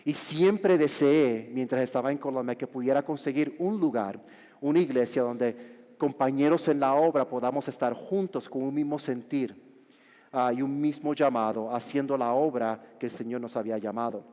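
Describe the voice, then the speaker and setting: A Puerto Rican man in his forties, a pastor preaching a sermon with joking asides and halting restarts. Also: medium pitch, dark tone. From Puerto Rico. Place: large church hall. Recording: reverberant church hall.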